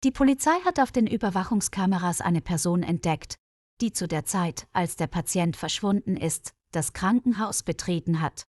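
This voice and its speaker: A woman's voice: lowish voice, moderate pace, a little mellow, dry recording, clean studio sound, close to an everyday voice. A woman narrator voicing a tired, worried female hospital colleague in a story, earnest and explanatory.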